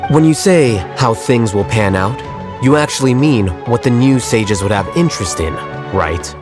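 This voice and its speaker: A man voicing a calm, blunt young male scholar in a game, speaking in a measured, plain way. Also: mid-range voice, music in the background, natural voice. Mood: dry. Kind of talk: game voice acting.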